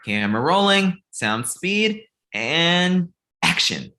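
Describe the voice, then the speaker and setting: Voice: mid-range voice, big pitch swings, takes it slowly, pushed and bright, heavily acted. An actor playing a glib, self-absorbed amateur male film director in a comedy table read, breezy and confident. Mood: pushy.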